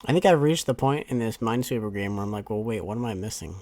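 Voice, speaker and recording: A young man co-hosting a casual stream, relaxed and laughing as he comments on the game he plays. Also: fairly low pitch, fast. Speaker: co-host. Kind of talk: casual chat while gaming. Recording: voice under loud game music with drums.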